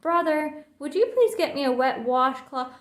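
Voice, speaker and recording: A woman's voice: high-pitched, animated, normal speed, echoey room, voice pushed up. A woman voicing a sensible mother bear in a children's storybook, with a firm, caring manner. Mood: concerned, firm.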